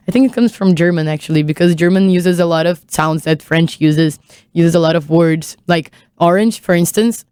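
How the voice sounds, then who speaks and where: Young Brazilian woman with smooth voice. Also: fairly low pitch, darkish tone, no room sound. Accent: Brazilian Portuguese. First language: Portuguese. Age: young adult. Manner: chatty, frequent "like" and "you know", light laughs. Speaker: podcast guest. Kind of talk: casual conversation.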